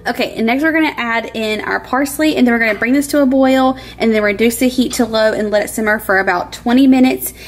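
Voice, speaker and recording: Woman, thirties, slightly high voice, informal and chatty, home kitchen cooking narration, light background music. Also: quick pace, hardly any pauses. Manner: chatty, informal, laughs easily. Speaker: host of a home cooking vlog. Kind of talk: casual cooking narration and chat.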